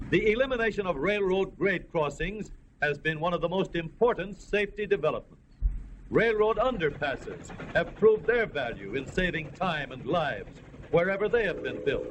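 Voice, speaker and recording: A man's voice: high-pitched, a little hiss or hum, rough recording. An American man reading a formal, earnest, declamatory script as narrator of a 1930s educational film, on an old film soundtrack.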